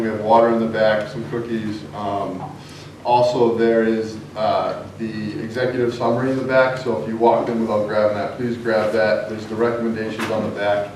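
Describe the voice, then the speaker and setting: A man opening a public meeting and introducing speakers, talking haltingly with many fillers. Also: medium pitch. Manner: halting, many ums and uhs. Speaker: town official opening a meeting. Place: large meeting room. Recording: echoey hall from far off with noise.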